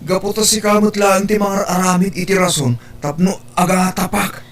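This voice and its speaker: A man's voice: high-pitched, flat delivery, moderate pace, background noise. A man with an Ilocano accent playing a jealous, distrustful son and boyfriend in an audio drama, questioning and accusing.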